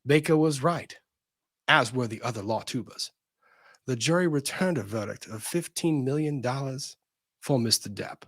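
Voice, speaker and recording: A man's voice: mid-range voice, lively intonation, normal speed, fairly bright tone, no echo, studio quality. A man doing a dramatic reading of a legal document, stressing quoted phrases theatrically and dropping in short asides. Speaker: host of a legal commentary show.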